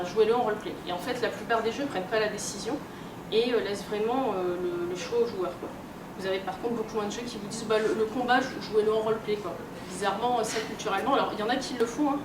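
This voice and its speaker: Woman lecturing informally, distant noisy room recording. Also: medium pitch. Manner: colloquial, hesitant, loosely explanatory with many filled pauses. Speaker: speaker, role-playing game researcher and editor. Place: indoor room at a games convention. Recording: roomy, distant, noisy live convention recording.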